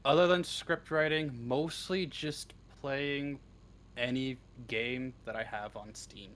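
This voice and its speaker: Young man, mid-pitched, casual and sweary. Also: fairly even intonation, a bit bright. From Canada. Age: early twenties. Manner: joking, sweary, halting starts. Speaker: interview guest, script writer. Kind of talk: casual chat.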